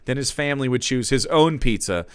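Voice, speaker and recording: A man's voice: medium pitch, lively intonation, fairly quick, clean tone, no echo. A young man streaming, giving a theatrical reading of fan fiction and breaking off to comment, on a close desk mic.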